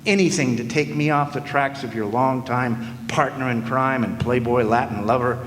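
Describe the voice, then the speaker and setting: A man's voice: medium pitch, fairly even intonation, normal speed, fairly bright tone, natural voice. A man playing a sardonic hardboiled private eye. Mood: wry. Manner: dry, sardonic noir narration. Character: wisecracking hardboiled male private eye narrator. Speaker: lead actor and narrator. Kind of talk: live stage radio play. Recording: echoey hall with faint noise.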